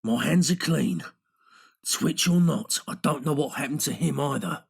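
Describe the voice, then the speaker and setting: A man's voice: mid-range voice, a little slow, creaky, slightly rough, heavily acted. A man voicing a guarded, defensive man with secrets in an audiobook, speaking tersely. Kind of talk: audiobook character dialogue.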